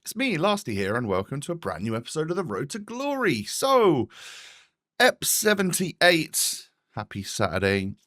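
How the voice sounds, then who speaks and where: A man's voice: a little high, wildly swooping pitch, normal speed, a bit bright, dry recording, studio quality. A British man with a slightly bunged-up nose, sounding tired as he gives chatty, casual gameplay commentary as a gaming host. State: slightly congested.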